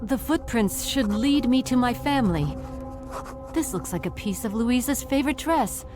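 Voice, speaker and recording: A woman's voice: on the high side, animated, moderate pace, over music, close to an everyday voice. An actress playing a determined female detective in a video game, thinking aloud in an earnest, reflective way about what she finds. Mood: worried, determined.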